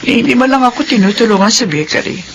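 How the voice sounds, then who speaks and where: A woman's voice: fairly high pitch, animated, fast talker, slight background noise, natural voice, low-quality recording. A woman playing a worn-out mother. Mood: weary. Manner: weary, tearful, shouting when pushed. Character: worn-out middle-aged mother at breaking point. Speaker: radio drama character. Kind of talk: classic radio drama acting.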